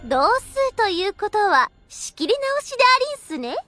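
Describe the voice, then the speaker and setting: A woman's voice: extremely high pitch, very animated, moderate pace, a bit bright, soft background music, full character voice. A woman playing a cheeky, girlish fantasy guardian in an exaggerated anime audio drama style. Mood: smug, coy.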